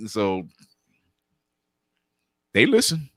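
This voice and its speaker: An older man with a deep voice, chatting casually as a guest and laughing often while he tells stories. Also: huge pitch swings, drawn-out words, fairly bright tone, husky, no room sound, studio quality. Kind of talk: casual chat.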